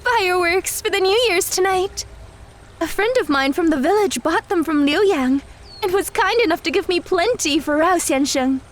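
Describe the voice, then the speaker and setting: A woman acting a dainty, high-voiced martial artist girl. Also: lively intonation, moderate pace, a little hiss or hum. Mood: welcoming. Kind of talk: audio drama dialogue.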